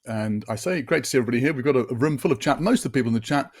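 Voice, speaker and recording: A man in his sixties presenting a live online session, chatty and upbeat with frequent fillers and restarts, his voice a little deeper than usual after a late night. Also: racing, slightly rough.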